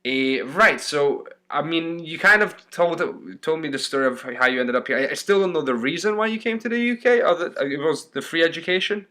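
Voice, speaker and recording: A man's voice: fairly high pitch, a little fast, few pauses, pushed and bright. A man hosting a podcast interview, chatting casually and rambling with fillers. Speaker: podcast host.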